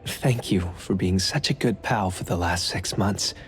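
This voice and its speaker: A calm, enigmatic anime game man with a lowish voice. He explains politely and in measured phrases, over clearly audible music. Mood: gentle, composed. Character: calm, courteous, enigmatic man keeping a supernatural pawnshop.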